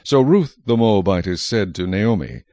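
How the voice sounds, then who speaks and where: A man reading scripted Bible narration in a measured, solemn manner. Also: fairly low pitch, big pitch swings, dark tone, dry recording.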